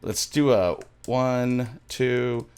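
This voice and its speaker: A man's voice: medium pitch, drawn-out words, darkish tone, smooth. Rambling, enthusiastic man hosting a tutorial. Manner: rambling, enthusiastic, self-interrupting. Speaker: music production tutorial host. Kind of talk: casual screen-share tutorial chat. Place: small indoor home studio. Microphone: close studio microphone, headphones on.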